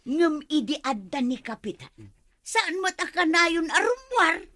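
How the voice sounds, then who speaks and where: Casting: suspicious village gossip, high-pitched, very lively intonation, Ilocano accent, radio comedy. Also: female voice, a little slow, exaggerated voice. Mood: indignant.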